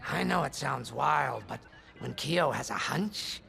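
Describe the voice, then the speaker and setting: A man's voice: medium pitch, lively intonation, unhurried, gravelly, bright, forceful tone, heavily acted. An actor playing a joking young male starfighter pilot, playful and wisecracking in manner. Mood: chatty. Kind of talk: video game voice acting.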